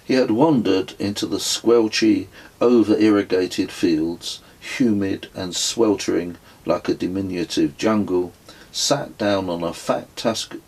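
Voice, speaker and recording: A man narrates a travel memoir in a very deep voice. His delivery is slow and deliberate, like a storyteller taking his time. The tone is distinctly husky, suited to a scripted audiobook. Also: darkish tone, rough recording. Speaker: author reading own travel memoir.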